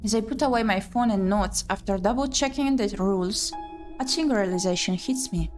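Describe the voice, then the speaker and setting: A woman narrates a first-person story game with a dramatic storytelling delivery. Her voice sits at a middle pitch, with soft music playing behind her. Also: a little fast. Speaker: streamer reading a visual novel aloud.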